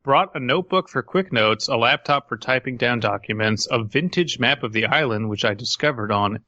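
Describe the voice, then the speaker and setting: Bright-voiced man joking on a podcast. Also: medium pitch, animated, moderate pace, dry recording, dull audio. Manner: joking, sarcastic. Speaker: podcast co-host. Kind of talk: casual banter while reading and critiquing a horror story.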